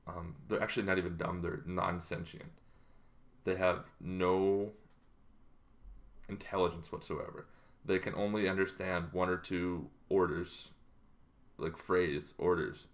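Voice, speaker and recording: A man in his thirties talking casually to camera in an explanatory way, halting and improvised, in a small bedroom. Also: low-pitched, flat delivery. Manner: halting, loosely improvised delivery. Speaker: tabletop roleplaying game vlogger.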